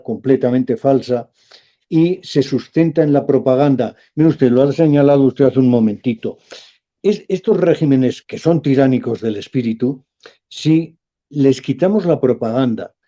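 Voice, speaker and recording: Professor guy lecturing slow and emphatic, really dark mellow voice, dull lo-fi sound. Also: mid-range voice. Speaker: professor, guest. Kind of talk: talk radio interview.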